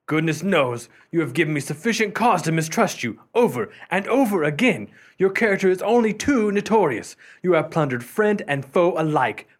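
A man playing a covetous, easily affronted gentleman collector in a radio play, theatrical and emphatic. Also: a little high, big pitch swings, moderate pace, few pauses. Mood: indignant. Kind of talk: old-fashioned radio play acting.

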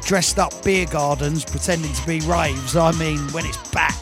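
Chatty high-voiced male radio DJ. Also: moderate pace. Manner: chatty, playful banter with listeners. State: croaky, losing his voice. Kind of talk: live radio shout-outs over music. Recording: hip hop music playing under the voice.

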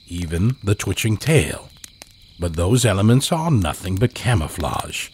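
A man narrating scripted horror fiction in a measured, deliberate way. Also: sits low, a little hiss or hum. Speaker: storyteller, narrator.

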